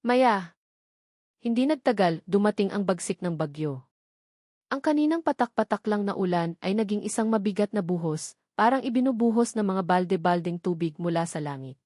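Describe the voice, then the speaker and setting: A woman's voice: mid-range voice, expressive, brisk, darkish tone, dry recording, studio quality. A woman giving scripted, dramatic narration of a romantic audiobook story.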